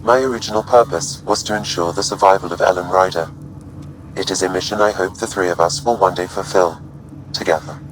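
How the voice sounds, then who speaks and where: A man plays a loyal, logical artificial intelligence in a sci-fi video game. The voice is deep, measured and formal, every phrase precise and calm. Faint noise sits in the background. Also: lightly acted, rough recording. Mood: solemn, measured. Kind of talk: scripted game dialogue.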